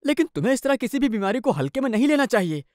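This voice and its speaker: A man's voice: very high voice, sing-song, very fast, darkish tone, no room sound, studio quality. A man playing a concerned young husband, earnest and insistent, in a cartoon moral story.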